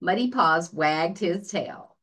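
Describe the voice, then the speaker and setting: A woman reading a children's story aloud as a librarian, expressive and deliberate. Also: lowish voice, breathy edge.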